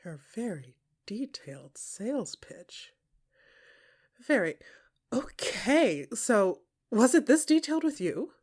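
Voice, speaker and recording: A woman with a husky, breathy voice narrates an intimate audio drama addressed straight to the listener. Her delivery is expressive and often hushed, moving between soft musing and more emphatic phrasing. She sets short phrases apart with deliberate pauses. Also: a little high. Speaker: narrator and performer of an audio fiction podcast. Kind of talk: intimate second-person dramatic storytelling.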